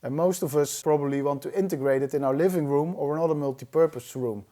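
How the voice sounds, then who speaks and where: A man giving an explanatory tutorial in a measured, didactic way, as an instructor. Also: a little high, mellow. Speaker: audio-video instructor.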